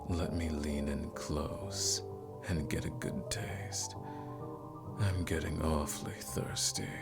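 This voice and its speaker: Man playing a very deep, creaky vampire lord. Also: steady tone, heavily acted. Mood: seductive. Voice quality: deep, creaky, breathy at times. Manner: slow, teasing, drawn-out, condescending. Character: suave predatory male vampire lord, teasing and seductive. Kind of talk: ASMR roleplay audio drama. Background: music.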